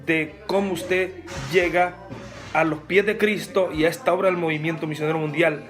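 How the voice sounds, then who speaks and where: A man's voice: on the high side, fairly even intonation, normal speed, a bit bright, soft background music. A man hosting a church interview, asking questions formally with frequent pious interjections. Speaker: interviewer and host.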